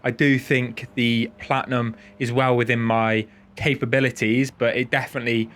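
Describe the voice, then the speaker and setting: A man's voice: medium pitch, little pitch movement, normal speed, fairly bright tone. A man with a head cold and a nasal voice, chatting casually and self-deprecatingly as host of a gaming video.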